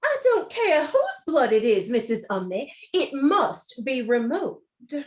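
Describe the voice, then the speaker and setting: A woman's voice: high-pitched, very animated, heavily acted. A woman playing a fussy, commanding wife and mother, easily alarmed, in a theatrical radio play style. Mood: indignant. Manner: bossy, brisk orders, theatrical.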